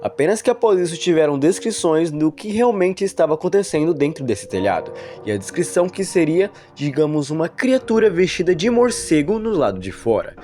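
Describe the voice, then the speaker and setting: A man's voice: on the high side, very animated, fairly quick, few pauses, warm tone, soft background music. A man with a Brazilian accent narrating an explanatory video analysis in a wordy, explainer manner.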